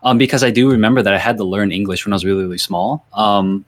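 A man's voice: lowish voice, fairly even intonation, quick pace, dry recording. A man from Virginia whose first language is Thai, a university lecturer giving rambling casual interview answers full of fillers and restarts. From Virginia, USA.

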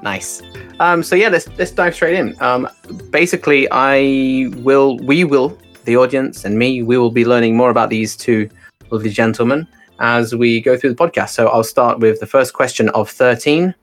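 Man, podcast host, mid-pitched, brisk, upbeat, short prompts, faint music. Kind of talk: casual interview hosting.

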